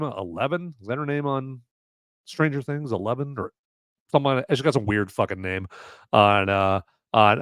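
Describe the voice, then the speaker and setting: A man's voice: medium pitch, a bit bright, smooth, no room sound, clean studio sound. A man hosting a live movie review podcast, rambling in a jokey, irreverent way. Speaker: podcast host.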